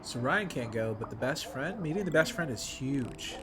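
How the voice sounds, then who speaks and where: A man's voice: medium pitch, animated, moderate pace, background noise. A man giving casual, slangy playthrough commentary on a game as a streamer. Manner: informal slangy fan talk. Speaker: gaming streamer reacting to a visual novel. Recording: music playing behind the voice. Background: clear background music.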